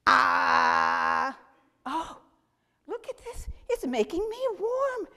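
A woman voicing the oldest man in the world, amazed and grateful, in a high, breathy, wondering voice in a storytelling. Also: very animated, drawn-out words, sharp tone, big-room sound, exaggerated voice.

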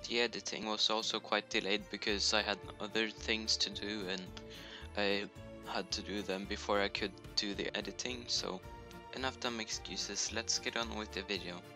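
A man's voice: lowish voice, level tone, moderate pace, over music. A man with a Norwegian accent, speaking English as a second language, giving a casual voice-over for a screen recording of his video game build, with joking self-deprecating asides over poor home-mic audio. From Norway. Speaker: YouTuber. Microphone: home computer mic, poor audio.

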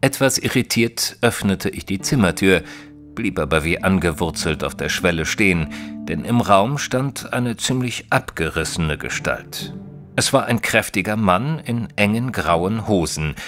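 An actor playing a detective's companion, narrating an audio drama in a measured, literary, descriptive way. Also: male voice, low-pitched, lively intonation, fairly bright tone, faint music behind. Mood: measured, wry. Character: male companion of a detective, narrating in first person.

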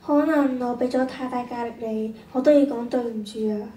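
High, mellow girl acting a loving mother. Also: steady tone, brisk, close to an everyday voice. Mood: tender. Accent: Hong Kong Cantonese. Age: teenager. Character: gentle, loving, worried mother. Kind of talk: radio drama acting. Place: stage of a large hall. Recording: echoey stage, distant mic, faint noise.

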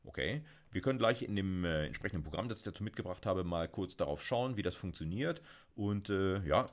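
A middle-aged man lecturing in a relaxed, chatty way, with many fillers and self-corrections, recorded on a close mic in a small room with keyboard typing behind him. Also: sits low, brisk. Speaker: university lecturer. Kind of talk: casual recorded video lecture with live coding.

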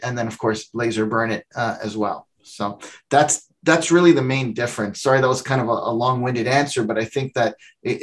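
A middle-aged man, a company founder, giving a long explanatory answer on a video call from his home office, talking with his hands. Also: medium pitch.

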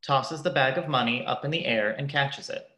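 Young woman, extremely low pitch, scripted narration, echoey room. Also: moderate pace, sharp tone. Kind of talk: scripted stage-direction narration.

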